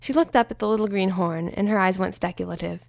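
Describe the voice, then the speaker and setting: A woman narrating a fan story in an expressive, lively reading style. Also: mid-range voice, fast talker, creaky, no room sound. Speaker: fanfiction reader.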